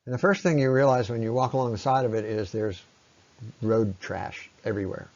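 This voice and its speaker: A man narrating an informal slide presentation in an explanatory way, with halting pauses. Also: mid-range voice, darkish tone, highs cut off. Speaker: presenter on local ecology.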